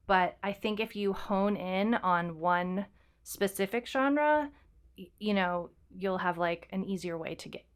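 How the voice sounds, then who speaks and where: A woman's voice: mid-range voice, fairly bright tone, smooth. A woman co-hosting a podcast, talking casually and explaining things in an informal way. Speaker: podcast co-host and fiction writer.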